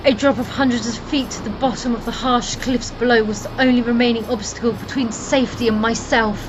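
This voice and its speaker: An actress playing a shaken but defiant young alchemist and vampire hunter, dramatic and distraught, in an audio drama. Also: female voice, fairly high pitch, fairly even intonation, a little fast, noisy background, lightly acted. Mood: tense narration. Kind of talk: fan audio drama acting.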